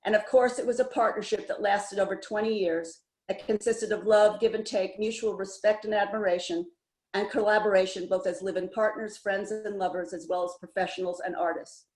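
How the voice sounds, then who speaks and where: The voice is a woman in her seventies with a medium pitch, heard in an echoey room over an online call. She reads a prepared personal tribute in an earnest, heartfelt manner. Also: steady tone, brisk, darkish tone. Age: 70s. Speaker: memorial speaker. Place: indoors on an online video call. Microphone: video call microphone.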